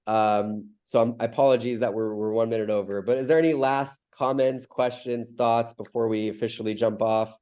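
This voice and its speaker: A man's voice: mid-range voice, steady tone, moderate pace, hardly any pauses, dark tone. A man with a stuffy nose from a cold hosts a community video call, explaining ideas in a loose, rambling way with many fillers and restarts.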